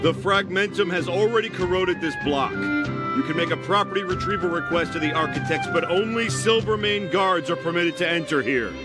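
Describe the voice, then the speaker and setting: A man's voice: sits high, brisk, lightly acted. A man playing a stern, officious city guard in a video game, commanding and formal. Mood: stern, warning. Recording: loud music behind the voice.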